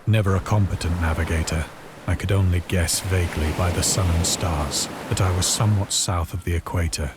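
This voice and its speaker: An actor playing a despairing, morphine-addicted former seaman, narrating a horror game in a grave, measured, formal manner. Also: low voice, steady tone, over loud music, natural voice. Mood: somber recollection. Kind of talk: dramatic first-person horror narration.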